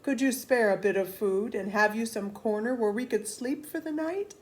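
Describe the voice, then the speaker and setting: A woman's voice: fairly high pitch, normal speed, voice pushed up, lightly acted. A woman voicing hungry, hopeful soldiers in a storybook, with an emphatic, declaiming delivery. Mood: polite, asking. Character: hungry, bold, hopeful marching soldiers, adult men. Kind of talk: storybook character reading.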